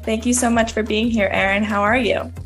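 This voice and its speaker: A woman's voice: on the high side, steady tone, brisk, a bit bright, soft background music. A woman hosting an interview podcast, greeting her guest and asking him about his background in a friendly way. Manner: friendly, asks questions in a list. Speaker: podcast host. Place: New York.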